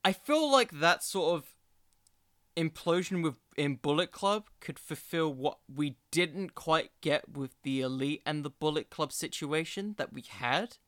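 A man with a bright, forceful voice, pitched a little high, hosts a casual podcast. His sound is crisp and dry, and he rambles as he thinks aloud, often poking fun at himself. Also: expressive, measured pace, no echo. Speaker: podcast host. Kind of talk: casual wrestling commentary.

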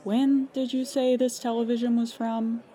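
A woman's voice: a little high, level tone, moderate pace, warm tone, close to an everyday voice. Woman playing a weary, sarcastic hotline operator. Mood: dry, official. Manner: dry, exasperated, clipped official phone manner. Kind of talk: scripted audio drama.

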